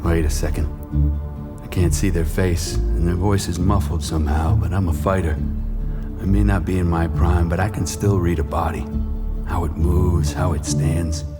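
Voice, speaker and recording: An actor playing a bitter, regretful washed-up boxer, narrating a noir audio drama in brooding, terse sentences. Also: male voice, low-pitched, fairly even intonation, normal speed, loud music behind the voice, close to an everyday voice. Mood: wary.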